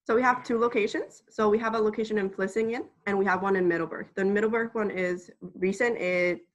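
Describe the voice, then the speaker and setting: An eighteen-year-old woman from the Dominican Republic presenting a webinar as a student, steady and explanatory. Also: mid-range voice.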